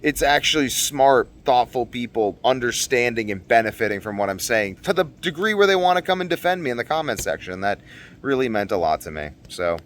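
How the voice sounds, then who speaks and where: A man's voice: mid-range voice, animated, brisk, fairly bright tone, slight background noise. A man talking casually and unscripted as a video blogger, earnest and rambling, often repeating phrases for emphasis. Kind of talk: casual unscripted monologue to viewers.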